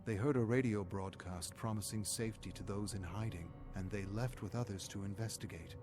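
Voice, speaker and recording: A man narrating a scripted dramatic story in a measured, solemn way. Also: lowish voice. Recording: music behind the voice. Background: music.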